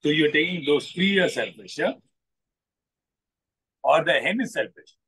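A man with an Indian accent and a fairly high voice tells a simple story as a coach would. He speaks deliberately and repeats phrases for his listeners. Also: expressive, a bit bright, slightly rough, poor audio. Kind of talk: unscripted moral storytelling to a group. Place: open balcony or corridor of a building.

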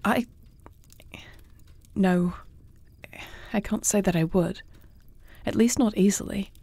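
Woman as a creaky, mellow, wary warrior princess. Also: mid-range voice, no echo. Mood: guarded. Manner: formal, measured, guarded. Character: wary, composed warrior princess, heir to throne. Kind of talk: fantasy audio roleplay acting.

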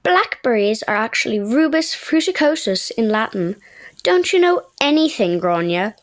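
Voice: medium pitch, big pitch swings, moderate pace, lightly acted. An actor playing a sullen, scornful know-it-all Irish boy in a children's audio drama, curt and snappy.

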